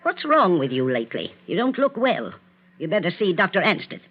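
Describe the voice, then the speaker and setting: An actress playing a cold, imperious, scornful old bedridden matriarch in a 1940s radio drama. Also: female voice, lowish voice, big pitch swings, fairly quick. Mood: curt, demanding. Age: older. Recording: muffled vintage 1940s radio recording.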